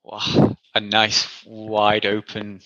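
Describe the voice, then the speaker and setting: A man in his forties from the Isle of Man speaks with a bright, forward tone. He answers in a casual, rambling and self-deprecating way. The recording is dry and a little dull. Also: on the low side, completely flat delivery, drawn-out words, no echo. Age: 40s. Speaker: guest.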